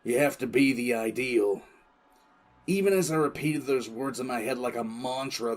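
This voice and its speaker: A man playing a stern, battle-weary military commissar, telling his story in a confiding, dramatic first-person audio drama style with pauses. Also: medium pitch, a little hiss or hum, natural voice. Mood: stoic, intense. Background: music bed.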